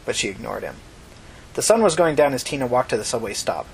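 A woman's voice: extremely low pitch, sing-song, fast talker, creaky, a little hiss or hum, poor audio. A woman reading scripted novel narration for a fiction podcast.

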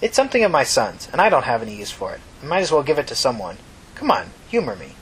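A man's voice: mid-range voice, wildly swooping pitch, fast, a bit bright, slight background noise, rough recording. A man voicing a tired, solemn, talkative old woman with a folksy, earnest manner in a fiction reading. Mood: insistent, kindly.

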